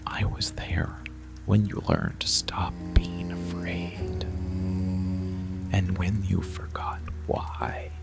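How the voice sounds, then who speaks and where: Man acting a gravelly, slow-murmuring monster boyfriend. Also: fairly even intonation, exaggerated voice. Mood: hushed, possessive. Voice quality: low, gravelly, breathy murmur often near a whisper. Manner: slow, deliberate delivery with long pauses. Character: possessive dark monster boyfriend, hidden protective watcher. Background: rain and music.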